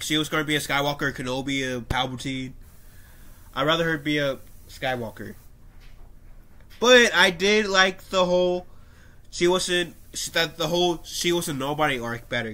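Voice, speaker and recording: Young man, congested stuffy nose, bright tense voice, a bit higher pitched, casual webcam commentary, coughing now and then. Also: moderate pace. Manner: animated, gestures with his hands, frequent coughs and restarts. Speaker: YouTuber. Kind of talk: casual commentary reading an article. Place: small room at home, indoors.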